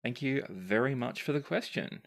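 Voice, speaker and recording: A man hosting a podcast as game master, reading and answering listener questions in measured, deliberate phrasing. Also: mid-range voice, lively intonation, gravelly, a bit bright.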